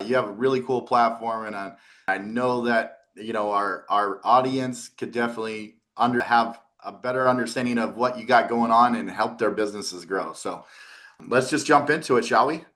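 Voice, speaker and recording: A man's voice: mid-range voice, normal speed, a bit bright. A man hosting a podcast, greeting his audience with upbeat, enthusiastic energy and chatting casually with his guest over a video call. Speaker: podcast host. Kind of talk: casual interview chat.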